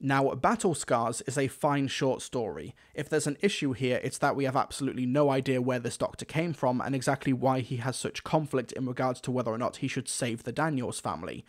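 A man's voice: mid-range voice, a little fast, hardly any pauses. A man delivering scripted review narration as a reviewer.

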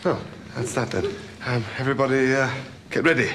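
A man's voice: mid-range voice, fairly quick, background noise, natural voice. A man playing a flustered, bookish character in a television drama, hesitant and stammering. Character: flustered, bookish British man running a school show. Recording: TV show playback with music behind.

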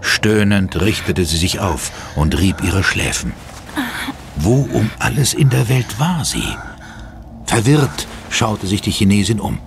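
A man's voice: sits low, vocal fry, noisy background. A man giving dramatic scripted narration for a German horror audio drama. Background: music.

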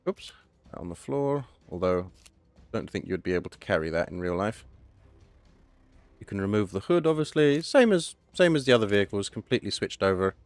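A man with a hoarse voice, casually talking through a game update and thinking aloud as he plays. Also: on the low side, animated, a little fast, no room sound. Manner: chatty, thinking aloud, self-correcting. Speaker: gaming channel host. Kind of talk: casual gameplay commentary.

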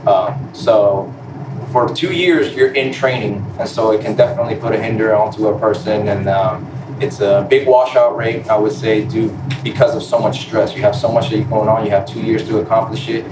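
A man's voice: lowish voice, fairly even intonation, normal speed. A man in his twenties from Puerto Rico and Florida, explaining his job as an airman on a panel, politely and off the cuff. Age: 20s. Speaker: panel guest, air traffic controller in the Air Force. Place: large indoor room, seated on a stage. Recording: roomy echo, distant mic, noisy room.

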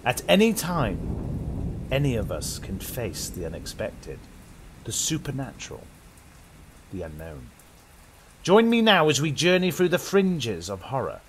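Dramatic British man, animated horror narrator. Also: medium pitch, slight background noise. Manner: dramatic, with deliberate pauses. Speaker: host and narrator. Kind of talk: theatrical scripted horror narration in the manner of old radio.

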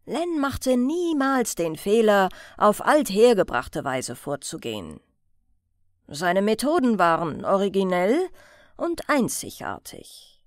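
A woman's voice: mid-range voice, huge pitch swings, slow, no echo, clean studio sound. A woman narrating a crime audiobook as a scripted reading.

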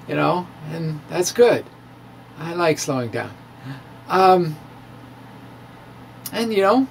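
A middle-aged man presenting a science monologue as a dramatic reading with casual asides, emphatic and theatrical, with halting pauses. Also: high voice, a little hiss or hum, rough recording. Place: small indoor room with bookshelves. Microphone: handheld-style microphone on stand close in front.

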